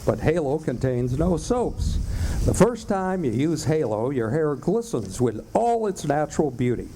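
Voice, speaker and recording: A man's voice: a little high, sing-song, measured pace, mellow, slight background noise, low-quality recording. An American man reading scripted old-time radio advertisements in an upbeat, salesmanlike announcer style. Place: live amateur stage performance in a cafe.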